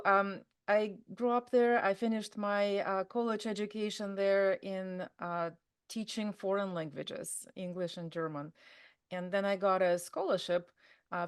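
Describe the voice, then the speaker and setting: A woman's voice: medium pitch, clean tone. A woman with a Russian accent, a native Russian speaker, talking casually as an interview guest, full of fillers and restarts. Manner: chatty, many fillers and restarts. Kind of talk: conversational interview.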